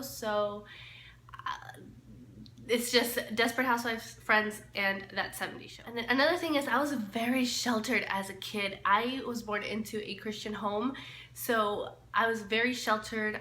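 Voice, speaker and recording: A woman's voice: medium pitch, pushed and bright. A woman in her twenties, born in El Salvador, chatting casually to camera in a get-to-know-me vlog, often laughing and trailing off. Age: 20s. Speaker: lifestyle vlogger. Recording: echoey room, faint hiss.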